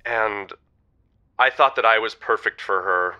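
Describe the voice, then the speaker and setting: Actor as forceful, clear-voiced lovelorn man caller. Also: fairly low pitch, a little slow. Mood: rueful, confessional. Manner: hesitant, sincere, confessional. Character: earnest, remorseful lovelorn man with a checkered past. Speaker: radio show caller. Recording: slightly muffled phone call.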